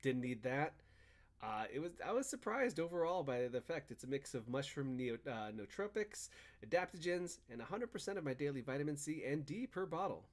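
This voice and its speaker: A man's voice: fairly high pitch, big pitch swings, a little fast. A man hosting a podcast, talking in casual banter and chatty ad reads with an emphatic, swinging delivery. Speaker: podcast and stream host.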